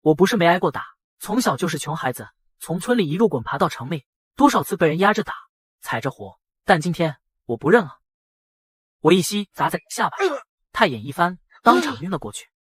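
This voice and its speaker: A man with a husky voice narrating a dramatized first-person story. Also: a little high, big pitch swings, fairly quick, a bit bright, dry recording, studio quality. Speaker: narrator and voice of the male lead.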